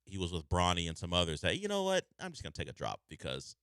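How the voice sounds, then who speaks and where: Male radio host, low voice, bright and crisp tone, dry close studio mic, measured conversational delivery. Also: wildly swooping pitch, dry recording. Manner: conversational, measured, thinking aloud. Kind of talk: sports radio talk. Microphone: studio microphone.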